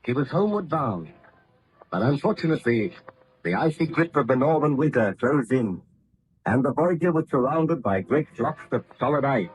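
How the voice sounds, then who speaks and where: A man's voice: mid-range voice, very animated, natural voice. A man narrating an old radio drama as a formal, grave announcer. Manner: formal, measured. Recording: noisy old radio recording.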